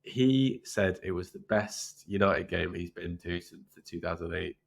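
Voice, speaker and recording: A low-voiced man chats as co-host of a football podcast. He sounds stuffed up and nasal, and talks in a laid-back, self-deprecating way. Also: unhurried, gravelly. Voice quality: nasal, congested. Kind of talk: casual chat on a football podcast.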